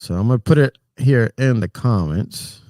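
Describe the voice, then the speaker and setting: A middle-aged man with a Puerto Rican accent, hosting a relaxed, rambling livestream chat and greeting viewers by name. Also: mid-range voice, normal speed, dry recording. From Puerto Rico. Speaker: trucker livestream host.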